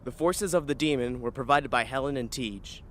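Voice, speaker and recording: A man's voice: mid-range voice, normal speed, a bit bright, slight background noise. A man reading scripted end credits for a radio story as its announcer.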